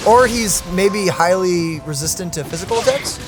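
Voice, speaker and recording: A woman's voice: lowish voice, lively intonation, moderate pace, over music. A woman streamer chatting casually as she reacts to a game, exclamatory and incredulous.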